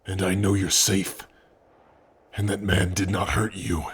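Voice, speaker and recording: A big, devoted orc lover voiced by a man in an intimate ASMR roleplay. His voice is very husky and low, and he takes his time over each phrase. The manner is tender and earnest, gentle despite his size. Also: little pitch movement, heavily acted. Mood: loving, earnest. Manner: tender, earnest, slow and breathy with sighs.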